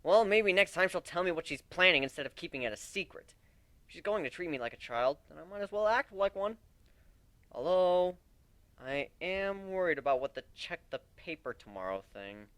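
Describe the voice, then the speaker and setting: A hot-headed young griffin, acted by a man for a storybook reading. The voice is sarcastic and exclaiming, swinging widely in pitch, and the sound is dry, with no room on it. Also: lively intonation, normal speed, fairly bright tone, dry recording. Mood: indignant, sulky. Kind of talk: dramatic reading of fan fiction.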